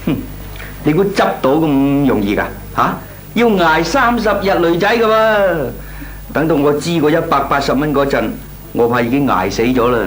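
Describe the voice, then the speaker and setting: A man acts out a lazy, argumentative young nephew in an old Cantonese radio comedy. His Hong Kong Cantonese delivery is flippant and very animated, swinging up and down in pitch. There is faint noise and hum on the recording. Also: close to an everyday voice, poor audio. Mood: grumbling. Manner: scoffing, flippant, drawn-out theatrical delivery.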